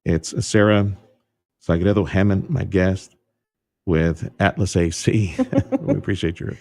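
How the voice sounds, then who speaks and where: A man hosting a radio talk show, speaking in a relaxed, folksy way with stumbling restarts. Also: deep voice, a little slow, dry recording, studio quality. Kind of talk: casual radio interview. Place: radio studio. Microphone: studio microphone.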